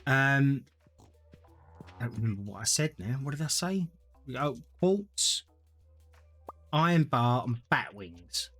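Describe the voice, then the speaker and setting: Casting: male streamer, rambling, lively voice that rises and falls, faint music behind. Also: medium pitch, takes it slowly, long pauses. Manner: rambling, thinks aloud, chats to viewers. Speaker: gaming streamer. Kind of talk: casual live-stream gameplay commentary.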